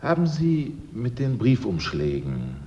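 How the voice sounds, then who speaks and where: An actor playing a cool, self-assured insurance detective with a dry, lightly ironic manner in a German radio drama. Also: male voice, mid-range voice, huge pitch swings, unhurried, mellow. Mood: smooth, ironic. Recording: dull lo-fi recording with noise.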